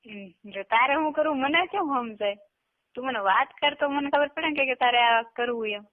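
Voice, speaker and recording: A woman with a Gujarati accent speaks over a narrow, tinny telephone line. Her voice is high, and she talks in a casual, colloquial way, as in a private chat. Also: normal speed. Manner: colloquial, brief backchannels. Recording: tinny narrowband phone call recording.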